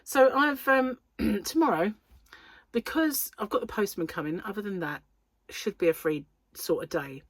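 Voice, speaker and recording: A middle-aged British woman with a wheezy breath, chatting casually to camera as host of a crochet channel, self-deprecating and quick to laugh. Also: medium pitch, husky, no room sound. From United Kingdom.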